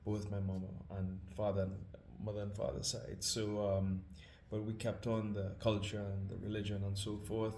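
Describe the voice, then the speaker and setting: A man with a Trinidadian accent, hosting scripture readings, speaking in a casual, hesitant, humble way with many pauses and fillers. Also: fairly low pitch, monotone, darkish tone, roomy sound, slight background noise. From Trinidad and Tobago. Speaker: scripture reader. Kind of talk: casual spoken introduction.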